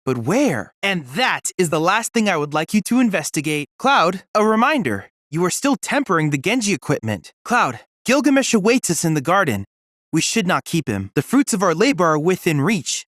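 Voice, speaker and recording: Eager, lively android boy. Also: sits high, normal speed, dry recording, studio quality. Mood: composed, helpful. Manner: formal, precise, earnest. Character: polite, eager, bookish android boy research assistant. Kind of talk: scripted video game dialogue.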